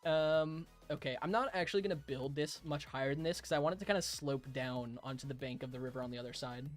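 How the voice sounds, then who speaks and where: A hoarse young man live-streaming a game, chatting casually with viewers, chuckling and thanking them. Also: medium pitch, fast, soft background music. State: hoarse, raspy throat.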